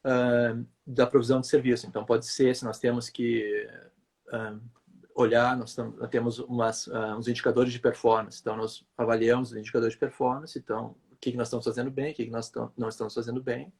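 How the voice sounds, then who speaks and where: A man from Brazil talks through his work as a physiotherapist in a relaxed interview. His voice is husky, and he hesitates often, repeating words as he explains at length. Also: medium pitch, a little fast. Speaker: physiotherapist guest. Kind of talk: conversational interview answer.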